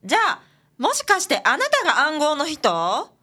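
Brief: woman playing a chatty Kansai sidekick, bright tone, animated pitch. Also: moderate pace, exaggerated voice. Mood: curious. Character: lively Kansai woman detective's assistant. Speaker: assistant in a radio drama.

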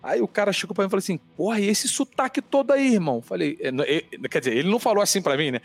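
A man with a Carioca accent, a podcast guest chatting in an animated, casual way and swearing often. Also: sits high, racing, creaky, faint music behind. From Rio de Janeiro, Brazil. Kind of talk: casual chat.

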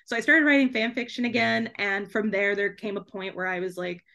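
A woman's voice: medium pitch, fairly even intonation, brisk, gravelly, bright, forceful tone. A young woman talking casually as a podcast guest, chatty and giggly, peppering her sentences with "like". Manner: chatty, frequent "like", giggles between phrases. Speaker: podcast guest, debut author. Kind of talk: casual conversational interview.

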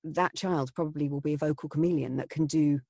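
A voiceover artist talks shop in a relaxed podcast chat. The voice is gravelly and husky, with a dark, mellow tone. The sound is close and dry. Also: mid-range voice, fast, no room sound. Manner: thoughtful, rambling with fillers. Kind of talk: casual chat.

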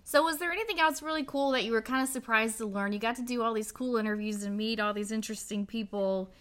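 A woman's voice: a little high, quick pace, a bit bright. A woman co-hosting a radio podcast, putting questions to a guest and reading show announcements. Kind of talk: interview hosting and scripted sign-off.

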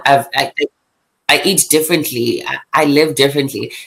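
A young woman with a South African accent talks in a relaxed podcast chat. She is lively, laughs easily and often restarts her sentences in quick bursts. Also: a bass voice, creaky. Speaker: guest. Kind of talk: casual chat.

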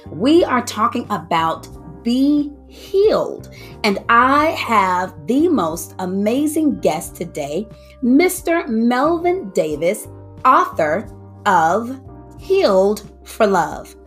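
An upbeat woman hosts a podcast interview, exclaiming as she welcomes her guest. Her pitch rises and falls all the time. Faint music plays underneath. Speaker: podcast host.

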